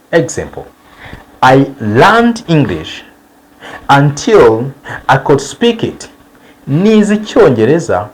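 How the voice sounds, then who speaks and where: A low-voiced man teaching a language lesson, his pitch rising and falling a lot as he stresses each word. He draws out the model sentences slowly and repeats them for learners, over a faint hiss of noise. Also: poor audio. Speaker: English teacher.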